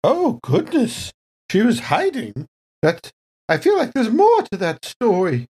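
A man's voice: high-pitched, huge pitch swings, measured pace, a little mellow, slightly rough, exaggerated voice. A man voicing a polite, scatterbrained female android tourist in a kindly, genteel and dithering way for a tabletop game. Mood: homey, rambling.

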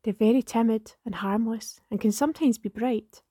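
A woman reading a rhyming poem in a hushed, partly whispered way. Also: on the high side, moderate pace, husky, no echo, studio quality. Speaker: library staff member reading a poem. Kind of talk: poetry reading.

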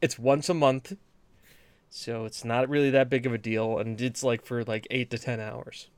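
A man from New England rambling through a casual gaming podcast, given to long, jokey rants. His voice sits at a middle pitch. It is recorded close and dry. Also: steady tone, moderate pace, a little mellow, clean tone, no echo. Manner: rambling, rant-prone, joking. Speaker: podcast co-host. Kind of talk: casual chat.

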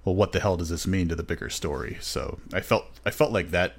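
A man with a low voice talks casually as a podcast co-host. He hesitates often and fills his pauses with ums. His tone stays eager as he describes what he liked. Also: a little hiss or hum. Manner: hesitant, filler-heavy, enthusiastic.

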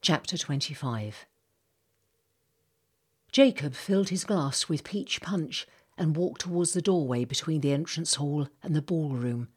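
A woman reading a novel as an audiobook narrator, in measured, expressive storytelling. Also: sits low, slightly rough. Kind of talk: scripted literary narration.

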